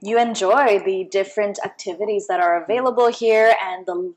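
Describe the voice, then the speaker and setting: A woman's voice: mid-range voice, fairly even intonation, moderate pace. A woman in her thirties from Canada, a guest chatting casually over a meal at a restaurant table. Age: 30s.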